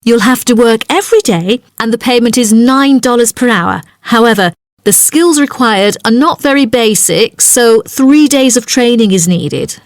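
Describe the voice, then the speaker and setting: Woman acting as helpful female office agent in a listening test skit, courteous, businesslike, highish voice. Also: normal speed, no room sound, clean studio sound, natural voice. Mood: polite, helpful. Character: polite, helpful female student job centre agent. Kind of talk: scripted language-test dialogue.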